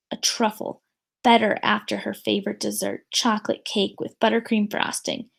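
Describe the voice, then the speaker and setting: A woman's voice: medium pitch, creaky. A woman reading a children's picture book aloud expressively, as a teacher would for students.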